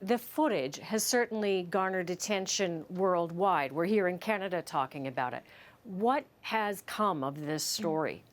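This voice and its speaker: Measured woman news host. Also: on the low side, animated. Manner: measured, clear newsreader delivery. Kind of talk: news narration and interview questions.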